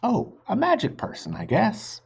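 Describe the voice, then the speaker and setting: A man's voice: high-pitched, huge pitch swings, unhurried, creaky, breathy edge, pitched above the performer's own voice, full character voice. A man reading a children's book aloud, voicing an eager, matter-of-fact little girl in a raised, bouncy voice on a dull lo-fi recording. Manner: bright, bouncy, sure of herself.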